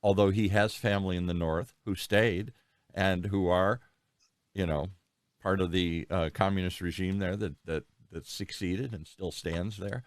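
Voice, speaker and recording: A man's voice: deep voice, steady tone, a little slow, dry recording, clean studio sound. An American man hosting an interview, asking long, rambling questions with frequent restarts. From United States. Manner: rambling, thinks aloud with many restarts. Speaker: podcast host. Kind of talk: conversational interview.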